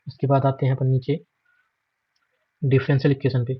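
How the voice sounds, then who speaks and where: A man's voice: mid-range voice, monotone, normal speed, husky, no room sound, dull audio. An Indian man giving an informal, matter-of-fact exam prep walkthrough, listing items repetitively and mixing in English terms. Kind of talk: informal screen walkthrough lesson.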